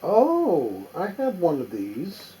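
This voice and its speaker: A man casually talking to himself as he sorts a stack of old records, reading the labels aloud in a halting, musing way. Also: a little high, wildly swooping pitch, dark tone, a little hiss or hum, poor audio. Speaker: record collector. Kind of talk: casual running commentary while sorting records.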